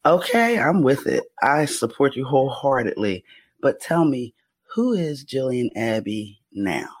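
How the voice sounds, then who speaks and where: A woman hosting a podcast, talking in a casual interview chat with a low, raspy voice that gets mistaken for a man's on the phone. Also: very animated, a little slow, no room sound. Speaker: podcast host.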